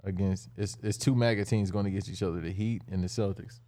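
A man's voice: sits low, steady tone, quick pace, mellow. A man co-hosting a podcast, laid-back, tossing in short offhand remarks. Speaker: podcast co-host. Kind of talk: casual podcast banter.

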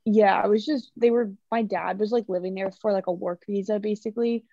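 Young woman with a gravelly, rambling voice. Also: medium pitch, fairly quick, no room sound, dull audio. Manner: relaxed, rambling, many likes. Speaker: student guest. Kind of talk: casual podcast chat.

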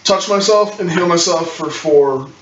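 A man's voice: a little high, a little slow, fairly bright tone. A man chatting casually as a player in a tabletop role-playing game. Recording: roomy, muffled distant mic with clicks, hiss.

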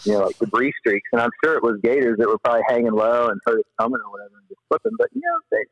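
Low voiced guy on a podcast tellin rambly slangy stories, animated, kinda dull lofi audio, dry no echo. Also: rapid-fire. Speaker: podcast guest, paddler and former river guide. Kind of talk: casual storytelling in an interview.

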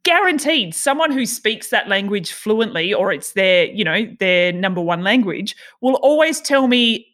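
A woman's voice: medium pitch, sing-song, unhurried, few pauses, fairly bright tone. A woman hosting a podcast in a casual, informal chat, asking inquisitive questions. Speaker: podcast host.

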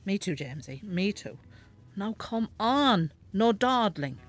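A woman playing an elderly, nagging, devout Irish mother in a radio drama, sharp-tongued and scolding. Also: medium pitch, big pitch swings, measured pace, soft background music. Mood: brisk, bossy. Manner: scolding, bossy. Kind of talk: naturalistic radio drama acting.

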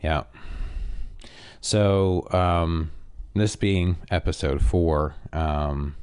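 A man from Canada co-hosting a podcast, talking in a relaxed, rambling way with many fillers and a slightly nasal edge. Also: sits low, extremely slow, clear, resonant tone. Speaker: podcast co-host. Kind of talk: casual chat.

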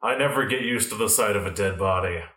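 A man's voice: on the low side, fast, pushed and bright. Man playing an earnest detective, distant and echoey. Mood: troubled. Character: earnest, squeamish police detective partner. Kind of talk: improvised radio play acting. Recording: echoey room, off the mic.

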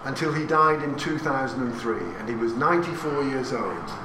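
A man's voice: mid-range voice, moderate pace. An English man in his sixties tells an audience a family story in a chatty, anecdotal way, with asides and questions to the listeners. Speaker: speaker giving a talk. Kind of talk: talk to a live audience about family history. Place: hall with an audience. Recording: echoey room recorded from a distance, with audience noise.

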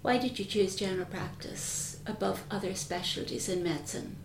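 A woman who is a doctor hosts a podcast, introducing her guest and asking him clear, measured questions. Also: mid-range voice, roomy sound, a little hiss or hum. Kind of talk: podcast interviewing.

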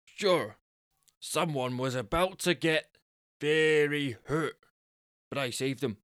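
Man playing a solemn, smooth-voiced self-styled superhero. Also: fairly high pitch, slow pace, fairly bright tone, dry recording, clean studio sound, pitched above the performer's own voice. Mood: self-important. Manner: solemn, self-important declarations. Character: earnest, deluded self-styled superhero boyfriend, adult man.

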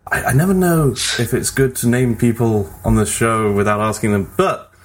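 A man's voice: mid-range voice, fairly even intonation, moderate pace. A man chatting casually as a podcast host, with a wry, pedantic way of putting things. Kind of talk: casual gaming podcast chat.

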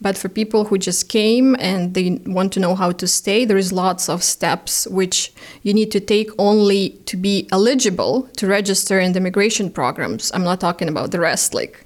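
A woman's voice: medium pitch, fast. A woman from Ukraine with a Ukrainian accent and Ukrainian as her native language, chatting as a podcast guest and explaining things step by step with examples. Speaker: guest, immigration consultant. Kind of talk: conversational podcast interview, explaining.

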